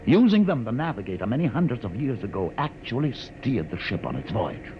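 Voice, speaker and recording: An actor playing a commanding, scornful older rebel guide in a 1950s radio drama, with a theatrical, sardonic delivery. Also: male voice, medium pitch, sing-song, brisk, lightly acted. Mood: commanding, solemn. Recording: muffled, noisy 1950s radio recording.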